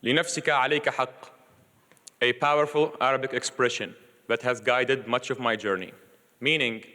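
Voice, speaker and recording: Young man, loud and earnest, formal commencement speech, bright tense tone, long auditorium reverb. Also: mid-range voice. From Libya. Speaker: graduate speaker. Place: large auditorium.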